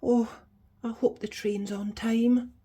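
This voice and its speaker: A woman's voice: fairly high pitch, flat delivery, slow, warm tone, breathy edge, voice pushed up. A woman voicing a flustered, fussy vet who frets and exclaims in a storybook.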